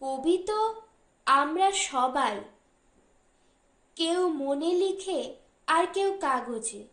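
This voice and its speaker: Lady doing a dreamy wry brother-in-law in an audiobook, high voice, takes it slow. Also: animated, a bit bright, roomy sound, heavily acted. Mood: musing. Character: wistful, teasing, philosophical brother-in-law, adult man. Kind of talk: audiobook dialogue.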